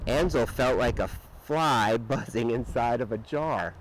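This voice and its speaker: A man reading a children's picture book aloud with expressive, dramatic emphasis and drawn-out words. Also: medium pitch, slight background noise, poor audio. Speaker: storybook reader.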